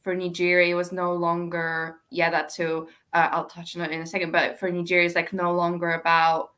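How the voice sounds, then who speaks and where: A woman's voice: fairly low pitch, completely flat delivery, brisk, fairly bright tone. A woman chatting casually and effusively about books as a co-host, with gushing, slangy talk. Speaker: book discussion co-host. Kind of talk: casual book chat.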